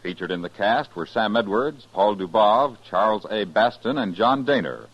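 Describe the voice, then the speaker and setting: Lively, husky vintage radio announcer, male. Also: on the low side, moderate pace. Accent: American. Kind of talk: 1950s scripted radio announcing. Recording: dull vintage radio broadcast recording. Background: faint music.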